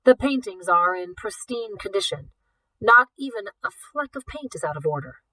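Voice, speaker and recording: A woman's voice: medium pitch, big pitch swings, moderate pace, sharp tone, no room sound, recorded from a distance, rough recording. A woman voicing a world-weary teenage girl thief in a storybook, with a terse, challenging manner. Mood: guarded, resolute.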